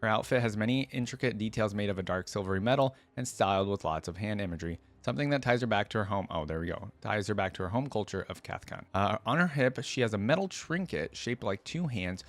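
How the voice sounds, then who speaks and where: A man hosting a reaction video, casually reading aloud and musing with his own commentary. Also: lowish voice.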